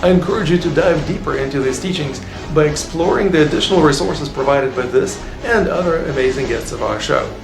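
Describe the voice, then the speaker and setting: Gravelly male host over music. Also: fairly high pitch, animated, a little fast, hardly any pauses. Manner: earnest, promotional. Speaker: show host. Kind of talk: scripted show intro. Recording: roomy with music behind.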